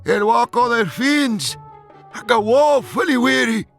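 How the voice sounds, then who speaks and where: A man voicing an indignant, grumpy old woodland creature from a rhyming storybook, with a croaky, scolding, exclamatory delivery. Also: extremely high pitch, lively intonation, a little slow, a bit bright, soft background music, pitched above the performer's own voice, full character voice. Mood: angry scolding.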